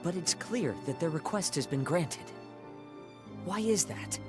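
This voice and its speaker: A man's voice: fairly high pitch, lively intonation, unhurried. An actor playing an earnest, idealistic young male scholar in a game cutscene, speaking formally and insistently. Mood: urgent pleading. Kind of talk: dubbed video game cutscene acting. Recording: music behind the voice.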